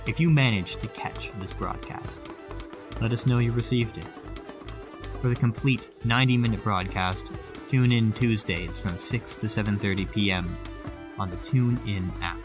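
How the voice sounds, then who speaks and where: A man's voice: fairly low pitch, moderate pace. A man reading scripted outro credits as the announcer of a radio show. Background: guitar music.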